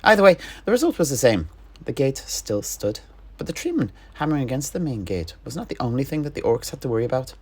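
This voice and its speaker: A man narrating a fantasy battle tale in character, theatrically and with deliberate, dramatic pauses. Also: medium pitch, very animated, husky. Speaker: storyteller guest in an in-character fantasy chat.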